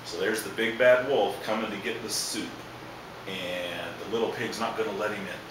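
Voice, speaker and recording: A man's voice: on the low side, steady tone, normal speed. A man reading a children's storybook aloud as a storyteller, adding asides. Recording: echoey, distant, noisy room recording.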